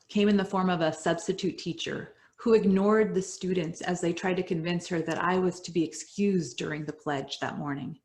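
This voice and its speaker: A middle-aged woman whose first language is Spanish, reading her personal story in an earnest, measured way that drops softly at the ends of lines. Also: on the low side. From United States. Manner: earnest, measured, dropping soft at line ends. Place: home, virtual event.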